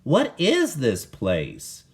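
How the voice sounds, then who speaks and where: A man's voice: medium pitch, huge pitch swings, drawn-out words, slightly rough, pitched above the performer's own voice, full character voice. A man voicing a curious, easily alarmed young boy in a storybook, exclaiming and asking earnest questions.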